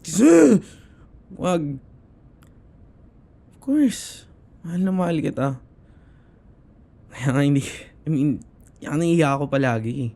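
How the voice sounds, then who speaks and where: A man with a Filipino accent playing a shy, affectionate boyfriend in his twenties in an intimate ASMR roleplay, speaking softly and hesitantly. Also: a little high, animated, creaky, heavily acted. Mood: flustered, playful. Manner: soft, hesitant, halting, slipping between Tagalog and English.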